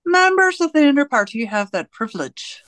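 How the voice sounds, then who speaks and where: A woman playing a composed, commanding party official in a radio play, speaking in a measured, solemn way. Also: high-pitched, big pitch swings, music in the background. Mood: cool, guarded.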